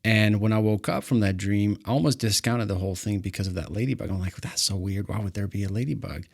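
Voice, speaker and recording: A male host from Ukraine and a native Russian speaker, telling a personal story with animated bursts and restarts. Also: lowish voice, quick pace, gravelly. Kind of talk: storytelling interview chat.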